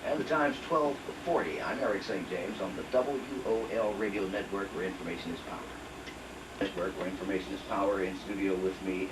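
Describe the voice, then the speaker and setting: A man hosts a radio talk show in a measured way, introducing his guest and reading out call-in details. His voice is low. He sounds distant from the mic, with clear background noise. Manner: measured, reads call-in numbers and station lines.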